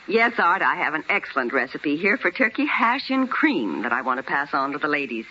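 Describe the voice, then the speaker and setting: A woman presenting scripted recipe advice on 1950s radio in a crisp, instructive way. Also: sits low, big pitch swings, a little fast, pushed and bright. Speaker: home economist giving sponsor recipe. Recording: muffled, hissy old radio recording.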